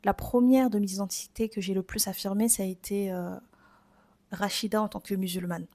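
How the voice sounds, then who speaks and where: A woman's voice: mid-range voice, steady tone, a little fast, darkish tone. A woman in her twenties with a French accent from France, hosting a personal, reflective podcast in an informal, confiding, conversational way. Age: 20s. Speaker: podcast host.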